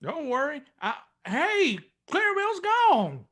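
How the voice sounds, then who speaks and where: A man playing a big, excitable, taunting rancher in an old-time western radio drama. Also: extremely high pitch, measured pace, voice pushed up, exaggerated voice. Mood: startled.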